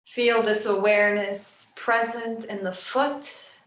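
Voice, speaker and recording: A woman yoga nidra teacher leading a guided relaxation meditation in a soft, soothing, unhurried way with long pauses. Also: medium pitch, fairly even intonation. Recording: roomy, echoing sound.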